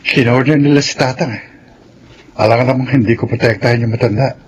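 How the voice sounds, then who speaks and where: Guy playing a protective, easygoing convict in a filipino radio drama, medium pitch, bit of background noise. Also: fairly even intonation, quick pace, lightly acted. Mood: earnest. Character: easygoing, protective male prisoner, playful with the older woman.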